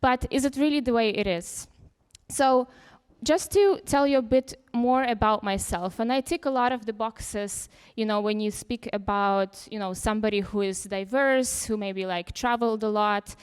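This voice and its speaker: A woman's voice: a little high, normal speed, clear, resonant tone. A young woman from Ukraine giving a casual, unscripted conference talk, full of "you know" and fillers. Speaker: conference speaker. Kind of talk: informal talk without slides. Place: lecture hall. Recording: reverberant hall.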